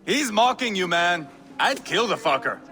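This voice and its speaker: An actor playing a hot-blooded, boisterous Viking warrior in a video game, loud and taunting. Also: male voice, high voice, sing-song, moderate pace, exaggerated voice. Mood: scornful.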